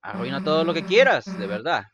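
A man voicing a brash, teasing teenage monster girl with gruff kindness in a video game fandub, blunt and slangy. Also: on the high side, sing-song, brisk, crowd noise. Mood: gruffly consoling.

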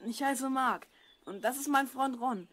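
Woman playing a high-voiced, curious boy. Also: a little slow, a bit bright. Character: curious, slightly cautious twelve-year-old boy adventurer. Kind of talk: children's audio drama acting.

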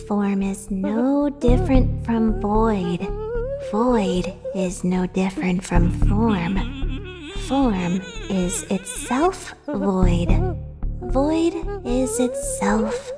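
A woman's voice: medium pitch, animated, drawn-out words, over music. A woman playing a prim, serious teenage girl from a school discipline committee in an anime fandub. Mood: calm, earnest. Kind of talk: anime fandub radio play acting.

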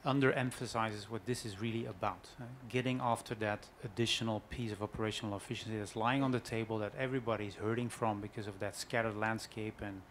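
A man's voice: on the low side, steady tone, fast, hardly any pauses, fairly bright tone, a little hiss or hum. A man speaking on a conference panel, explaining his views thoughtfully with frequent fillers. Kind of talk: panel discussion.

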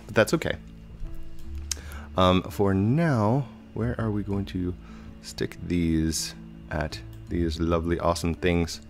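A man in his thirties casually narrating a video game as he plays, chatty and rambling, a little hoarse and congested from a cold, on a headset mic at home. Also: low voice, fairly even intonation, slow pace, faint music behind. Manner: chatty, rambling, with frequent fillers. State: slightly hoarse with a head cold. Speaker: gaming channel host. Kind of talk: casual let's play commentary.

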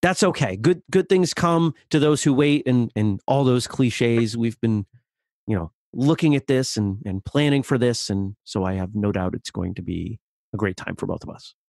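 Gravelly-voiced male podcast host. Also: medium pitch, darkish tone, no echo, clean studio sound. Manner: upbeat, conversational, talks in bursts and slows on key words. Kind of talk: casual interview with ad reads.

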